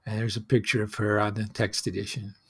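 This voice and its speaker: A man's voice: lowish voice, level tone, a little fast, husky. Reflective man telling stories as a host. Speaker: host of a solo storytelling show. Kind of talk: personal storytelling monologue.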